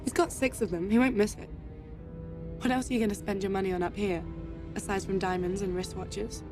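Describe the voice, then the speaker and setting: An actress playing a confident, flirtatious, pampered socialite in a spy game, teasing and assertive. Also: female voice, mid-range voice, steady tone, fairly quick, music in the background, lightly acted. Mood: doubtful, wry. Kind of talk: cinematic spy game acting.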